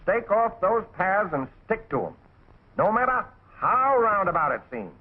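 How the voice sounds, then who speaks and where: High-pitched, bossy little cartoon critter, American. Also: male voice, sing-song, unhurried, slightly rough, exaggerated voice. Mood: instructive. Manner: folksy, instructive, calling out orders. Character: small brisk bossy cartoon animal in top hat, cheerful supervisor. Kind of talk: 1940s animated military training film acting. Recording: tinny 1940s film soundtrack with music.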